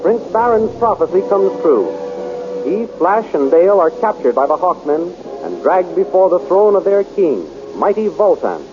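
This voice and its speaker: A male announcer narrating a radio serial in a dramatic, animated way, on a muffled old radio recording. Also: sits high, normal speed, loud music behind the voice.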